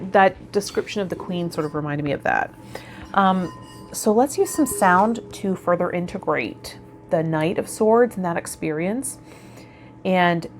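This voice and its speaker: A woman's voice: fairly low pitch, a little hiss or hum. A woman hosting a spiritual vlog, talking informally and reflectively, straight to her viewers. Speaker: tarot vlogger and host. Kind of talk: casual spiritual vlog talk, partly reading card meanings.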